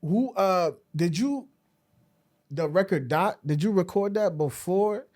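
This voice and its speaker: A man with a slightly high voice works as a podcast interviewer. He asks questions casually and haltingly, building them piece by piece. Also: lively intonation, a little mellow.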